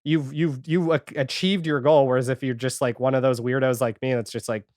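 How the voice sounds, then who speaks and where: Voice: mid-range voice, steady tone, a little fast, a little mellow, no room sound, clean studio sound. A podcast guest chatting casually and candidly about their own experiences, with wry, self-deprecating asides. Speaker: podcast guest, audio fiction creator. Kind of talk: casual interview chat.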